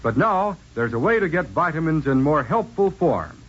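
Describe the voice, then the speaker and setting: Muffled old radio sound, male ad announcer, husky voice, very lively intonation, emphatic scripted pitch. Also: normal speed. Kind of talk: scripted 1940s radio advertising.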